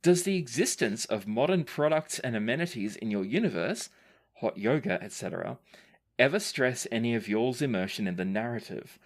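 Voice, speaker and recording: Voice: medium pitch, very animated, normal speed. A podcast host and game master reading listener questions aloud and chatting casually, in a conversational, playfully emphatic way.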